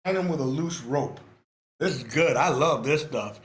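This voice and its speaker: A man giving animated, informal feedback on a screenplay, theatrical and emphatic, repeating phrases for effect. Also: a little high, moderate pace, reverberant. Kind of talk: animated, informal script critique. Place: online video meeting.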